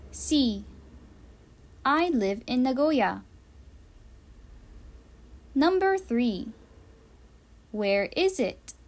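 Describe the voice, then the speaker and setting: A woman teacher reads out a learners' quiz, pausing between each answer choice. Her delivery is very slow and deliberate, each word carefully enunciated. Her voice sits a little high. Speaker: English teacher.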